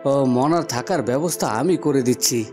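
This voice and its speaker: A man's voice: fairly high pitch, brisk, over music, lightly acted. A man voicing a humble, good-natured young village son, earnest and obliging, in a cartoon fairy tale. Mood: reassuring.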